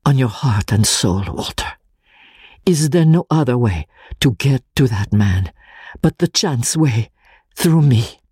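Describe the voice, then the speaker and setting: A man voicing a little Italian man, gravely earnest, trembling and hesitant, in a dramatic audiobook reading. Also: mid-range voice, animated, takes it slowly, mellow, dry recording, clean studio sound, full character voice. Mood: solemn, earnest.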